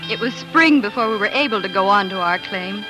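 An actress playing a devoted, excitable young pioneer bride, telling her story in earnest, lyrical 1940s radio drama style. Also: medium pitch, fairly quick, natural voice. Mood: reflective. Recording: old 1940s radio broadcast, music underneath.

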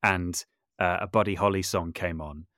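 A man with a fairly low voice speaks as a guest in a relaxed, conversational interview. The recording is close and dry, and he thinks aloud, qualifying and rewording his points. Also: normal speed, no room sound. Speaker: podcast guest, composer, sound designer and voice artist.

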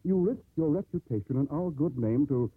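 An actor playing a stern, disapproving shipowner in a 1950s radio drama, stuffy and indignant in manner. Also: male voice, on the high side, animated, moderate pace, husky, no room sound. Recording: muffled old 1950s radio recording.

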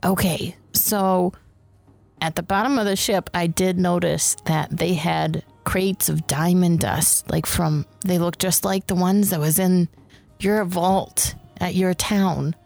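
A woman's voice: fairly low pitch, fairly even intonation, slow, vocal fry, darkish tone, faint music behind. A woman playing in a tabletop role-playing podcast, talking casually, partly in character in her everyday voice. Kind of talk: casual in-character game chat.

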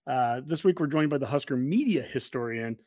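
A man's voice: medium pitch, animated, a little fast, darkish tone, no room sound, clean studio sound. A man with an American accent hosting a podcast in a casual, conversational way. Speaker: podcast host.

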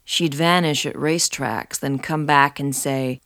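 Low-voiced woman narrating, very dry sound. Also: steady tone, measured pace, a bit bright, no echo. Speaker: podcast host. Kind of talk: scripted narration with poetry reading.

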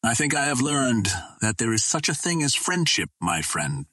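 A man's voice: mid-range voice, sing-song, normal speed, sharp tone, no room sound, clean studio sound. A man voicing a grim, loyal green Martian warrior chieftain in solemn, formal speech for a storybook. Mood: solemn, grateful.

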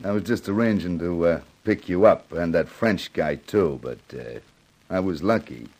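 An actor playing a sardonic, slangy gangster who threatens coolly in a 1950s hardboiled radio drama. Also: male voice, low-pitched, moderate pace, darkish tone. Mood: smug menace. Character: menacing, sardonic American gangster with a gun.

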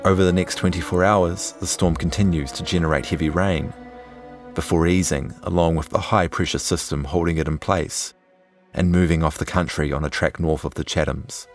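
A man reading scripted documentary narration in a measured, serious storytelling manner. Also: sits low, steady tone, soft background music. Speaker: journalist narrator.

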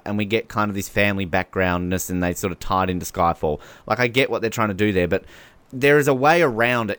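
A man's voice: on the low side, a bit bright, smooth, no echo. Jokey, rambling man on a podcast. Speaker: podcast co-host. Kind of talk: casual film commentary chat.

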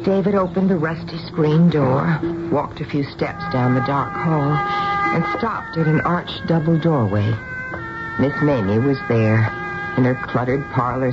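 An actress playing a housewife who narrates a radio drama in a measured, confiding, fondly wry way. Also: female voice, rumbling low. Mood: measured narration. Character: housewife recalling an odd story, wry and fond. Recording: dull old radio broadcast, music behind.

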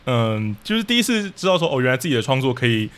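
Fast-talking Taiwanese man explaining things. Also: medium pitch, big pitch swings, a bit bright. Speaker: podcast guest, audio drama troupe leader. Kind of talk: casual explanatory interview talk.

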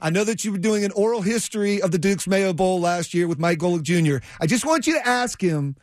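Higher-pitched man hosting sports radio. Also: animated, a little fast, no room sound, clean studio sound. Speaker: sports radio show host. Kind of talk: casual sports talk radio interview. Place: radio studio.

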